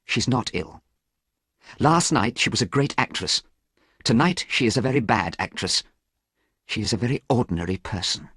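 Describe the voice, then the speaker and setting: A man voicing a proud young gentleman in a storybook reading, speaking coldly and bitterly. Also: medium pitch, lively intonation, normal speed, long pauses, slightly rough, no echo, clean studio sound, voice pushed up. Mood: scornful.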